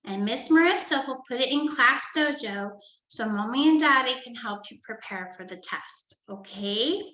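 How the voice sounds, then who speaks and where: A woman teacher reading a story to young children and going over a study guide, talking gently and checking in with "okay?" often. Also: on the high side, animated, normal speed, echoey room, poor audio. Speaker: first grade teacher.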